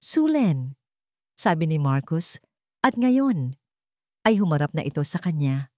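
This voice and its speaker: Low-voiced Filipino woman, dramatic storyteller. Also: big pitch swings, a little slow, warm tone, no room sound, studio quality. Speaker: story narrator. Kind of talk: dramatic scripted romance narration.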